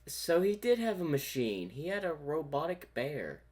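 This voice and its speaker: A man's voice: a little high, sing-song, moderate pace, a little mellow, husky. A man hosting a reaction video, musing aloud in casual commentary. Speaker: reaction host.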